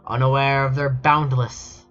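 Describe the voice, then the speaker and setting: A man streamer reads aloud at an unhurried pace, slipping between casual chat and mock-dramatic storytelling. He sounds amused and incredulous. The mic is dull and lo-fi, with music playing behind him. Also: mid-range voice, level tone, fairly bright tone. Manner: amused, incredulous asides while reading. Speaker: streamer reading fan fiction aloud. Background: background music.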